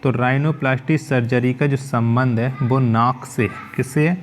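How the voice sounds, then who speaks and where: A man's voice: medium pitch, steady tone, slow pace, slight background noise. A male teacher reading out exam quiz questions, then repeating each question and its answer back to the viewer.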